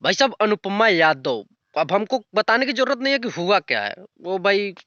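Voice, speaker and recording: A man's voice: high-pitched, big pitch swings, normal speed, highs cut off, very noisy. A young man giving an unscripted, casual commentary rant in a blunt, colloquial way with crude slang. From rural north India. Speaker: YouTube commentator.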